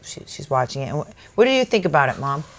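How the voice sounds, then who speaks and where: A woman's voice: sits low, big pitch swings, quick pace. A woman co-hosting a casual chat, telling stories animatedly with lots of restarts. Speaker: co-host.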